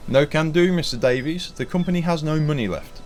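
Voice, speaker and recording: A man's voice: fairly high pitch, a little fast, natural voice. A man playing a blunt, penny-pinching railway company manager in an audio drama, with a curt, dismissive delivery.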